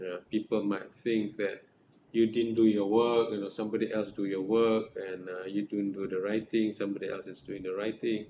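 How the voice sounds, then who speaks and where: A middle-aged man with a Malaysian accent speaks as a supervisor guiding a student, his voice sitting fairly low. He talks earnestly, circling back over points and often checking in with "right?". The room sounds echoey, with a faint hiss underneath. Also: a little mellow, highs cut off. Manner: earnest, repetitive, with frequent restarts and rhetorical "right?". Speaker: academic supervisor. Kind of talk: advising a research student in group supervision.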